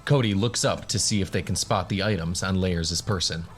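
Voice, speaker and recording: Low-voiced man narrating a fantasy tale. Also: normal speed, soft background music. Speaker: narrator and game master. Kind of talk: scripted fantasy audio-drama narration.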